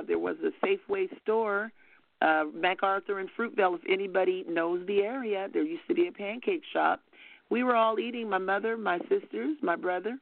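An older woman minister, soft-spoken but fervent, telling a story as testimony on a call-in broadcast. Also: medium pitch, no echo. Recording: phone-line audio.

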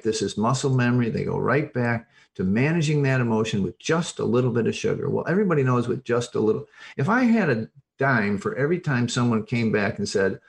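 A mature man talks as an expert guest in an informal interview. His pitch sits in a medium range, and he explains with emphasis, often correcting himself and repeating phrases as he goes. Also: creaky. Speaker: podcast guest, addiction recovery expert.

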